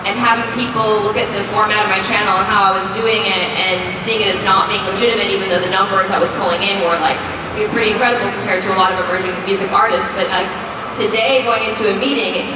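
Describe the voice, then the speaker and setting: An upbeat young woman talks in an easy, chatty way, sprinkling her answers with "like." She sounds distant from the mic, in a big echoing hall. Loud ambient noise fills the space behind her. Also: medium pitch, steady tone, quick pace. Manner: upbeat and chatty, many "like" fillers, laughs often. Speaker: musician interviewee. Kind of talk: casual interview answers. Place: busy convention floor. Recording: echoey convention hall from far off, loud crowd noise.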